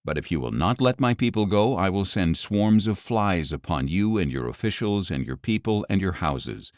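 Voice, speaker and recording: A man with a deep voice narrates an audio scripture reading. His delivery is measured and solemn, each word clearly enunciated. The sound is dry, with no room around the voice. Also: lively intonation, no echo.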